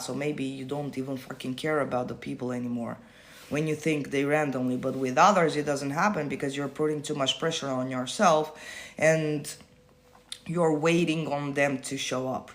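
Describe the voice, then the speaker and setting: A man coaching viewers on a phone livestream, answering their comments in a blunt, casual way, swearing freely and repeating himself to make points land. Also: on the high side. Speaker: manifestation coach.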